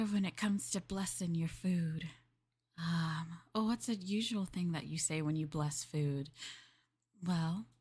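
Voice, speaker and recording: A woman streamer playing a maid in a roleplay, chatting with viewers in a playful, teasing and politely formal way. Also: on the low side.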